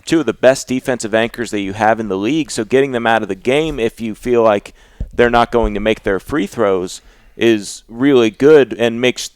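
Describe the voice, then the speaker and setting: A man's voice: mid-range voice, normal speed, smooth, no echo. A man hosting a podcast, talking casually and conversationally about sports.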